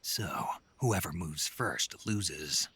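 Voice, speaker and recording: An actor playing a gruff, confident and practical soldier in a video game, speaking tersely. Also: male voice, low-pitched, expressive, extremely slow, sharp tone, no echo, full character voice. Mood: terse, focused. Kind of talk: video game cutscene acting.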